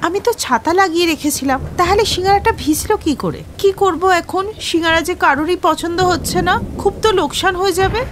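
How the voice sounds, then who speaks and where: A woman's voice: extremely high pitch, fast, few pauses, a little hiss or hum. A woman voicing a poor kind snack seller. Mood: worried.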